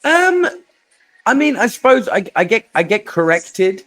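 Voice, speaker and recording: A man's voice: sits high, sing-song, unhurried, a bit bright. A man hosting a podcast interview, talking casually and repeating words while he gathers his thoughts. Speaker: podcast host.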